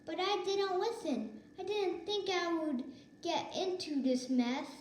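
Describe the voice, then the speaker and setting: Lady doing a scared helpless baby elephant in a kids story, very high voice, roomy sound. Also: slow, a little mellow, slight background noise. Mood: distressed, sorry.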